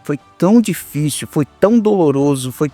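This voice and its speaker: Higher-pitched Brazilian male podcast host. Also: animated, normal speed, warm tone, soft background music. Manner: affirming, echoes the guest. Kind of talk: conversational interview.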